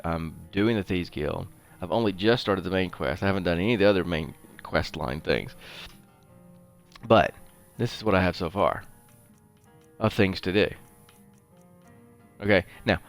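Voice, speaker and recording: Deep-voiced middle-aged man chatting over gameplay. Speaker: gaming show host. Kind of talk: casual rambling gameplay commentary. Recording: faint background noise.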